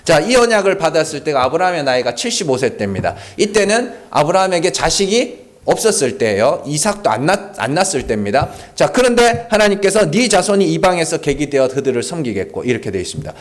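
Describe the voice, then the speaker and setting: Animated, high-pitched male pastor preaching. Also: fast talker. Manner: conversational, peppered with rhetorical questions to the congregation; emphatic and animated. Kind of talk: sermon at a Friday prayer meeting. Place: church sanctuary.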